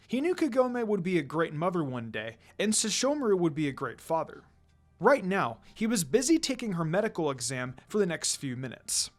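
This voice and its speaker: A narrator reading a scripted fan fiction story aloud in an expressive storytelling style. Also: mid-range voice. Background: background music.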